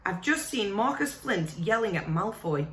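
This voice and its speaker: Adolescent jovial, lu par une femme, ton enjoué, pièce qui résonne. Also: female voice, medium pitch, very animated, normal speed, fairly bright tone, echoey room. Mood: lively.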